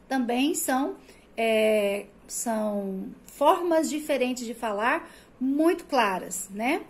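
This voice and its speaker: A woman from Brazil with a Brazilian Portuguese accent that pulls the R, giving a recorded prep-course lecture in an explanatory way, full of rhetorical questions and "né?" tags. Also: high voice. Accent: Brazilian Portuguese, rolled R of the interior. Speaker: Portuguese teacher.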